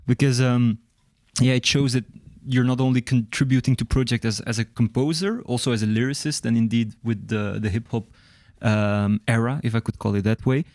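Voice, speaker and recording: Host asking questions with many ums. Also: male voice, medium pitch, steady tone, moderate pace, a little mellow, dry recording, studio quality. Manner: filler-heavy, many ums. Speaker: interviewer host. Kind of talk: casual interview questions.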